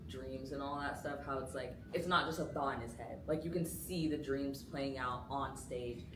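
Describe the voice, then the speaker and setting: Student actress, young, chatty filler-heavy delivery; distant roomy recording with noise. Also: female voice, very deep voice, normal speed. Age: young adult. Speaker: student actor. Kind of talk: casual chat about rehearsals. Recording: roomy, distant mic, noisy.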